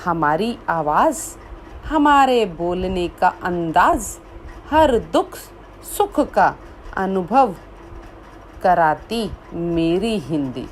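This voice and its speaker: Woman, poet, slow recitation, repeated lines, faint background hum. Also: on the low side, sing-song. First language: Hindi. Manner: measured, repeats lines for emphasis.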